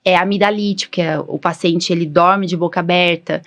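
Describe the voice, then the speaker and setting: A woman's voice: on the low side, steady tone, normal speed, fairly bright tone. A Brazilian woman hosting a medical podcast as an allergist, explaining informally and often checking in with little tag questions. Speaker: podcast host, allergist doctor.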